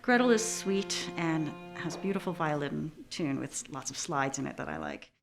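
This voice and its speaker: A woman's voice: deep voice, expressive, moderate pace, vocal fry, poor audio. A woman musician speaking reflectively in an interview, thoughtfully searching for her words. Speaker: orchestra musician. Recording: prominent music behind the voice. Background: string music.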